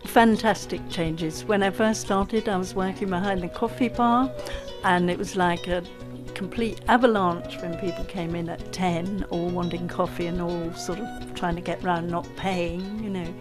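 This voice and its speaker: A woman's voice: lowish voice, fairly even intonation, measured pace. An older woman, in her sixties or beyond, talking conversationally in an interview. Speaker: retired volunteer being interviewed. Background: plucked guitar music.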